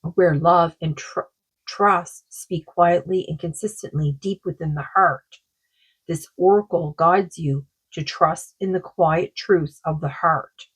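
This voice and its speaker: A woman with a sore throat giving a rambling, casual oracle card reading, full of fillers and slow read-aloud passages. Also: fairly low pitch, steady tone, slightly rough, rough recording. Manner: rambling, many fillers, reads card texts aloud slowly. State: sore throat, losing her voice.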